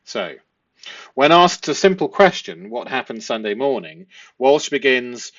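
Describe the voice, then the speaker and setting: A man explaining a transcript analysis as a host, speaking deliberately and posing rhetorical questions. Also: mid-range voice, expressive, gravelly, a bit bright, no echo, dull audio. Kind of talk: explanatory analysis of a transcript, part read aloud.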